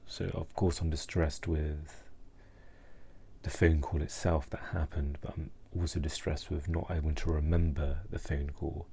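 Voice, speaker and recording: A man speaking in a hesitant, halting way, searching for words and subdued with distress, in a personal reflective vlog monologue. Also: rumbling low, level tone, vocal fry, warm tone, husky. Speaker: mental health vlogger.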